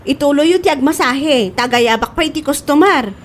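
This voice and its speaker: High-pitched hardworking young woman played by an actress. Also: lively intonation, moderate pace, a little hiss or hum. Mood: busy, brisk. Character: hardworking young mother, dutiful, worn-out working daughter. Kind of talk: radio comedy-drama acting.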